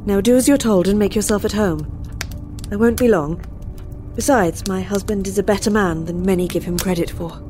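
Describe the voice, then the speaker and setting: An actress playing an eerily gracious mother who is polite, coaxing and quietly commanding in an audio play. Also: medium pitch, normal speed, soft background music, lightly acted. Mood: gently commanding. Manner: polite, coaxing, firmly insistent. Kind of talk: audio drama acting.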